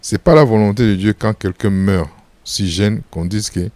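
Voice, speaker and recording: A pastor from Congo, answering interview questions in an emphatic preaching style, full of repeated phrases and rhetorical questions. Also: male voice, on the low side, moderate pace, a little mellow, dry recording. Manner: firm and insistent.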